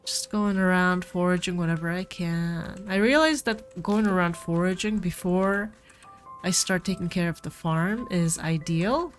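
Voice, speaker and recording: Woman streamer with a cold, lowish voice, rambly game chat, faint music behind. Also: measured pace. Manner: rambling, self-correcting, talks to viewers. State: sick with a cold. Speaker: gameplay streamer. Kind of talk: casual gameplay commentary.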